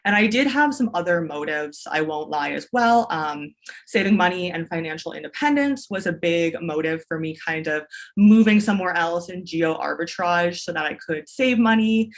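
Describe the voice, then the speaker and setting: A woman's voice: fairly low pitch, expressive, normal speed. A woman, a business mentor, telling her story as a podcast guest in an enthusiastic, long-winded way with restarts. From Canada. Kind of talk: casual interview storytelling.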